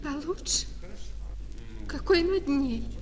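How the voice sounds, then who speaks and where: A woman playing a hesitant, coy young lady customer in a radio play, fussy and halting in her talk. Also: sits high, lively intonation. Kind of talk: radio play acting of a classic short story. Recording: reverberant hall with crowd murmur.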